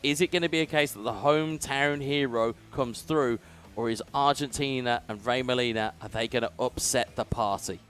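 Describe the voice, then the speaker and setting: A male esports commentator giving play-by-play commentary on a football video game, building up and booming on big chances. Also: moderate pace, hardly any pauses, a bit bright. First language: English.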